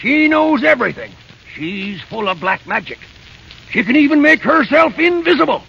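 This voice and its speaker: A man playing a grumpy, stubborn old dwarf with a gravelly, rustic American voice in 1930s radio drama, snapping and contrary. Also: very high voice, very animated, normal speed, heavily acted. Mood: warning. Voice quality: gravelly, sharp. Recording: dull, noisy 1930s radio broadcast.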